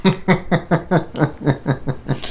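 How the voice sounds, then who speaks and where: A man's voice: a little high, extremely slow, gravelly, a little mellow, a little hiss or hum. A man, sounding middle-aged, chatting casually as he streams a video game, with a jokey, self-deprecating manner. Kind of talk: casual chat while playing a video game.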